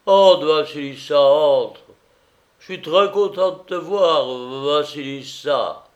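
A drunken master of the house, pitched up and loud. He stammers through slurred consonants, swinging between maudlin self-pity and mock dignity as he plays the magnanimous lord. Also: slow pace, muffled recording. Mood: agitated, insistent.

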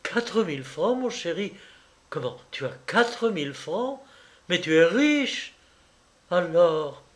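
A man reading aloud and voicing a capricious, tearful young woman who coaxes her lover for money, in a slow storybook reading. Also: sits high, sing-song, pitched above the performer's own voice, full character voice. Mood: wheedling. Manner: pleading, wheedling, sobbing.

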